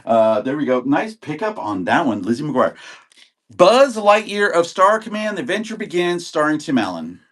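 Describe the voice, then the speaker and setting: A man's voice: on the high side, big pitch swings, moderate pace, fairly bright tone. A man in his sixties, getting over an illness, chatting casually and rambling as he sells videotapes on a live auction stream and greets viewers by name. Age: 60s. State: recovering from illness.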